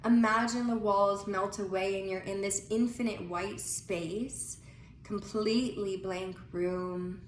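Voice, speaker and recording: A woman leading a guided breathing visualization in a soothing, unhurried manner with pauses between phrases. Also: medium pitch, fairly even intonation. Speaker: guided meditation leader. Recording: echoey room with faint noise.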